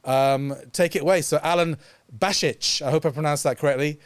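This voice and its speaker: Clear-voiced male co-host. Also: fairly high pitch, fairly quick. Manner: relaxed, friendly, asks questions. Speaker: editor and co-host. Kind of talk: casual studio chat.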